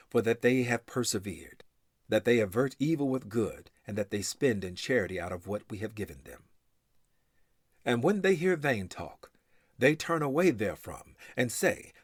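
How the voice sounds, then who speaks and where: A man reading scripture aloud in a solemn, formal and measured recitation style. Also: lowish voice, slightly rough, no room sound, studio quality. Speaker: scripture reader.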